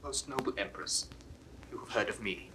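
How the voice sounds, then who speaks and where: An actor playing a sympathetic Roman officer in a classical verse recording, speaking softly and tenderly, often near a whisper. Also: male voice, lowish voice, normal speed, husky, exaggerated voice, poor audio.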